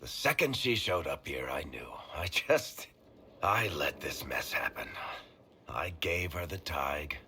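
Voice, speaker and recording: A man's voice: very deep voice, sing-song, unhurried, bright, forceful tone, slight background noise, heavily acted. A man playing a wry, world-weary dwarf storyteller who talks in a colloquial, teasing way in a video game. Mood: regretful, subdued. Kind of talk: video game cutscene acting.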